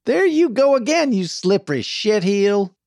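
A man's voice: fairly high pitch, big pitch swings, normal speed, dry recording, studio quality, voice pushed up. A man voicing a brash, foul-mouthed frontier woman who bosses a wagon train with a bossy drawl in a Western story. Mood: furious. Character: brash, foul-mouthed cowgirl wagon boss, hot-tempered but soft-hearted.

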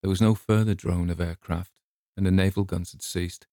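Deep-voiced man narrating a story. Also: steady tone, normal speed, mellow, no room sound, clean studio sound. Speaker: audiobook narrator.

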